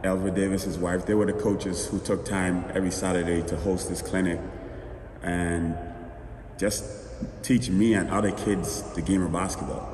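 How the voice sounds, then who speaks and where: A young man from the Bahamas, telling his story as a guest in a relaxed, rambling way. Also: low-pitched, normal speed, distant mic, slight background noise. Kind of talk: casual interview storytelling. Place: outdoors. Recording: echoey, reverberant, from afar.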